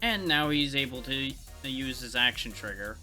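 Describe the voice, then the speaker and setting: A man's voice: mid-range voice, animated, normal speed, sharp tone. A man giving casual live commentary on a video game, talking to himself in a wry way. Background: video game music.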